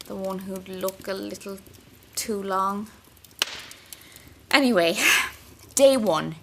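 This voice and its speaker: A woman's voice: medium pitch, bright, forceful tone, a little hiss or hum, full character voice. A woman with an Irish accent playing an anxious, wry young intern confiding in her diary in an audio drama. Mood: uneasy, wry. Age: young adult. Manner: intimate, wry, self-mocking.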